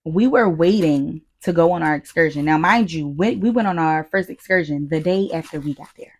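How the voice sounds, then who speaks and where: American woman, lower-pitched voice, slangy and profane, casual storytelling, podcast co-host. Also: brisk. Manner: slangy, profane, animated retelling with quoted asides.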